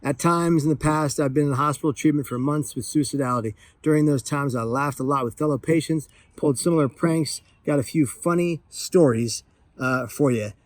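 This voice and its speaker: A man's voice: fairly high pitch, moderate pace, no echo. A man chatting casually as a vlogger, exuberant, playful and emphatic in his delivery. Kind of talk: excited casual vlog chat while opening a parcel.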